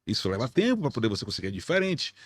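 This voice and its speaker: Fast-talking Bahian man, dry close sound. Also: mid-range voice, big pitch swings, breathy edge, no echo. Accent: Bahian Brazilian Portuguese. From Bahia, Brazil. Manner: blunt, slangy, emphatic, peppered with swearing. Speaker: podcast host. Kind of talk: informal podcast conversation.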